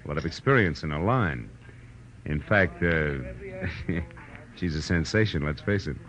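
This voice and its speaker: Deep-voiced hard-boiled detective, wry n sardonic, old radio noir w/ music behind. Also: male voice, unhurried, a bit bright. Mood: sardonic, probing. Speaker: lead actor and narrator. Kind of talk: 1940s radio noir narration.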